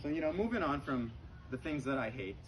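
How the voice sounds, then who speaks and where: A man's voice: medium pitch, expressive, a little fast. A man performing stand-up comedy, telling stories conversationally to a laughing live audience and often saying "you know". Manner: conversational, frequent "you know", acts out dialogue. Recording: noisy live recording with room ambience.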